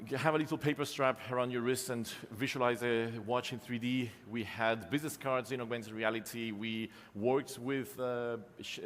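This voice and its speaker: Male presenter, forties, mid-pitched, loose and self-mocking, lots of ums, captured in a reverberant conference hall. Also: a bit bright, slight background noise. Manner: casual, self-deprecating, many ums and restarts. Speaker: conference speaker, company co-founder. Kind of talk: live conference keynote with slides.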